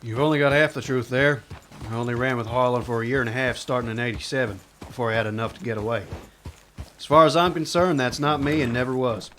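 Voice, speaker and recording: A man voices a weary ex-outlaw turned sheriff in a radio play, terse and guarded, with dry, clipped answers. He sits at a middling pitch, with a faint hiss behind him. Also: fairly even intonation, quick pace, a bit bright, natural voice. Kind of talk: radio drama acting.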